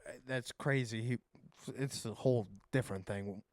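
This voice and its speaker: A man's voice: mid-range voice, moderate pace, a little mellow, no room sound, studio quality. Young man from Ohio, swearing casually. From Ohio, USA. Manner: loose and rambling, swears often, hedges with "I don't remember". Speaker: podcast co-host. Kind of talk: casual chat.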